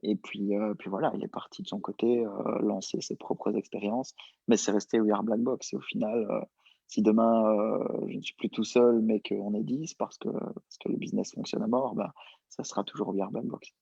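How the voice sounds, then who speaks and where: A young man answering interview questions casually, relaxed and hesitant with many fillers. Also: fairly low pitch, moderate pace, creaky, husky, no echo. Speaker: entrepreneur guest. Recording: dull lo-fi sound.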